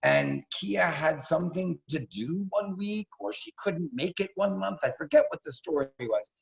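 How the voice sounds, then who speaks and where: A man's voice: high-pitched, sing-song, normal speed, creaky. A middle-aged man casually introducing performers as host of a staged reading over a video call, effusive and repeating himself for emphasis. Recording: dull video call audio.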